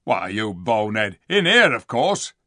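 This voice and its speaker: A man voicing a surly, suspicious dwarf in a storybook reading, gruff and blustering as he grumbles in colloquial speech. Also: on the low side, sing-song, a little slow, sharp tone, no echo, clean studio sound, heavily acted. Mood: scornful. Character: surly, defiant, suspicious dwarf, scornful and rude.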